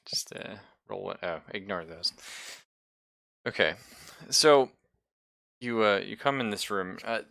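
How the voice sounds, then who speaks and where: Guy running a tabletop rpg, gravelly low voice, kinda halting, thinking out loud, dry sound. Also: lively intonation, dry recording. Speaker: game master. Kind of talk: improvised tabletop game narration.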